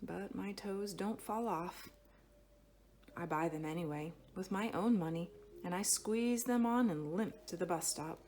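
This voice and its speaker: Lower-voiced woman reading a children's story, noisy background. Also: a little slow, a little mellow. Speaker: storybook reader. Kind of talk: expressive children's picture book read-aloud.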